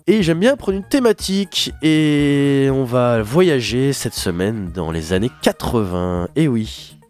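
A man hosting a radio music show, talking casually with a stuffy nose and a hoarse voice, rambling with many fillers. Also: medium pitch, big pitch swings, slow, a little mellow. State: congested and hoarse. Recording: radio studio with faint music underneath. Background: faint instrumental music.

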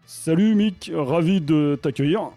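A man's voice: fairly high pitch, unhurried, mellow, dry recording, soft background music. A man opening a small casual talk show in a village bar, introducing the guest and panel in a slightly formal way. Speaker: host.

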